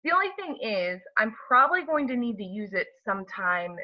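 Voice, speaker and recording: A woman plays a young wife in her late twenties with a very animated, swooping delivery. She is casual and teasing, as in a film drama read at home. The recording is a little dull and lo-fi. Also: fairly high pitch, brisk.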